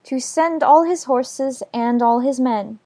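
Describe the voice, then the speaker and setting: A man voicing a polite, earnest seven-year-old girl who speaks gently. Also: very high-pitched, slow pace, dry recording, pitched above the performer's own voice, exaggerated voice. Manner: gentle, polite, a bit anxious.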